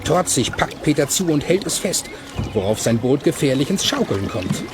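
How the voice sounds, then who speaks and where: A man narrating a children's audio play in a measured, suspenseful storytelling manner with clear articulation. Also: fairly high pitch, big pitch swings, over music. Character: suspense-building male storyteller.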